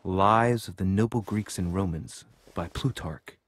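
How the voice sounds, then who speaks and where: An actor playing a polite, composed and calculating young gentleman in a video game, speaking formally and deferentially. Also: low voice, measured pace. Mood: cool, musing. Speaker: actor in a narrative adventure game. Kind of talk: cinematic game dialogue. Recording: game dialogue over background music.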